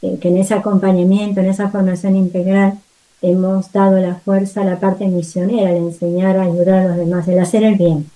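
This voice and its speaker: A woman's voice: medium pitch, flat delivery, brisk, mellow, rough recording. A woman missionary nun giving spontaneous interview testimony in an earnest, reflective way.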